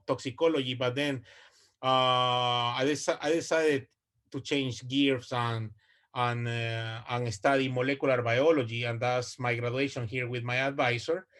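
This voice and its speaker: A man's voice: medium pitch, fairly even intonation, unhurried, fairly bright tone. Guy in his 40s giving a research talk on a video call, lots of uhs and restarts. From Ecuador. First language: Spanish. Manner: hesitant, many fillers and restarts. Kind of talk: online presentation.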